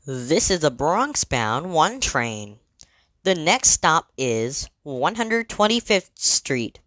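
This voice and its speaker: A woman delivering scripted train announcements in a measured, formal, clearly segmented manner. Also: low voice, very animated, a bit bright, no echo.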